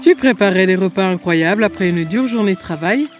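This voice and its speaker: A woman voicing a kind grown family friend in a cartoon story, gently encouraging in a measured way. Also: medium pitch, expressive, faint music behind, close to an everyday voice. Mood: reassuring.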